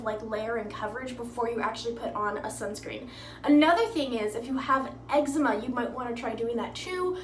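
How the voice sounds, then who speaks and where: A woman's voice: high voice, a bit bright, slight background noise. A woman giving a casual makeup tutorial at home, chatty and upbeat, dropping lots of fillers as she explains each step. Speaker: makeup vlogger. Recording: echoey room.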